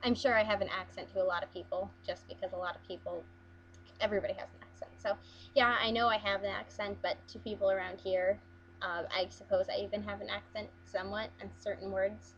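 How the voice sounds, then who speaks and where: A woman's voice: mid-range voice, fairly even intonation, fast, dull audio, a little hiss or hum. A young woman from Las Vegas chatting casually through an accent challenge, hesitant with frequent ums and restarts. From Las Vegas, USA. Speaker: vlogger.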